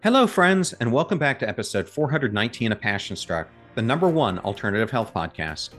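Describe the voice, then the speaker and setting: A man's voice: medium pitch, very animated, fairly quick, a bit bright, soft background music. A man hosting a podcast, reading an upbeat scripted introduction before an interview. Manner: upbeat, promotional delivery. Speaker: podcast host.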